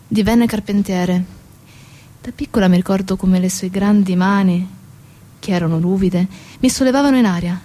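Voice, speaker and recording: An actress playing a bitter, grieving daughter in a theatrical monologue, speaking emphatically with deliberate pauses. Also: female voice, mid-range voice, dark tone, lightly acted. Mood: tender, reflective. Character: grieving, bitter daughter of a dead factory worker. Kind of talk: theatrical monologue over guitar. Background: acoustic guitar music.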